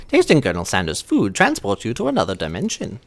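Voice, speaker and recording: A man's voice: medium pitch, gravelly. A young man hosting a let's-play, reading game narration theatrically and bantering casually. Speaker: gaming channel host.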